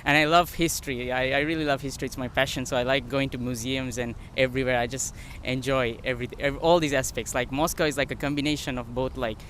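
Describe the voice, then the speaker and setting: A man of twenty-six from Sri Lanka giving a casual street interview, halting and often saying "like". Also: medium pitch, fairly even intonation, fairly bright tone. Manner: halting, filler-heavy with frequent "like". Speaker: foreign student interviewee. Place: outdoors on a city street. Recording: outdoor street, faint traffic noise.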